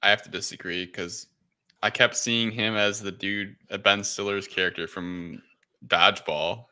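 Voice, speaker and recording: A man's voice: fairly low pitch, steady tone, moderate pace, bright, forceful tone, smooth. A man co-hosting a podcast, giving his opinions in a casual film review chat. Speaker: podcast co-host.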